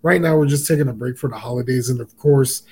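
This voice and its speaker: A man with a raspy voice, a co-host of another podcast, chatting casually with hesitant fillers. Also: medium pitch, fast, a little mellow.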